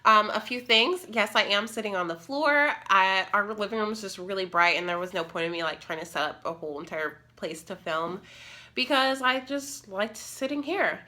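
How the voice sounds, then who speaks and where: A woman's voice: medium pitch, expressive, normal speed, pushed and bright. A woman in her twenties telling a personal story in a casual, rambling way, sounding nasal and congested from a cold. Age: 20s. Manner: rambling, casual, many fillers. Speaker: vlogger. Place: living room, sitting on the floor.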